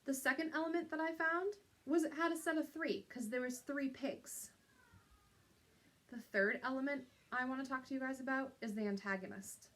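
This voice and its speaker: A woman's voice: high voice, normal speed, fairly bright tone. A woman teacher giving an instructional lesson to young pupils, explaining step by step and checking in with little tag questions.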